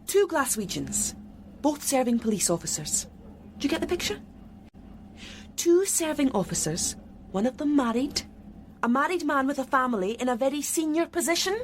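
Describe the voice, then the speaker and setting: A woman's voice: high-pitched, animated, normal speed, long pauses, slight background noise. An actress playing a proud female police detective in a radio drama, guarded and defensive under questioning. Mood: reluctant confession. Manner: guarded, indignant, reluctant.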